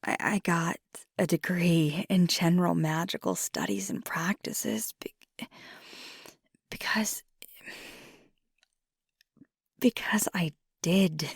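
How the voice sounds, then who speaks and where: A woman acting as young female werewolf girlfriend, ASMR roleplay, breathy, slow, vulnerable, soft-spoken, close dry sound. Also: lowish voice, expressive, dry recording, exaggerated voice. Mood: hesitant, confiding. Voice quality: husky, breathy. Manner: hesitant, soft-spoken, halting confiding. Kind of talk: intimate ASMR roleplay monologue.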